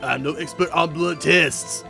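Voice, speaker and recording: A man's voice: on the high side, fairly even intonation, unhurried, gravelly, pushed and bright, soft background music, heavily acted. A man voicing a blustering, easily flustered police detective in a video game, stammering and folksy. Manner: stammering, folksy, says pal.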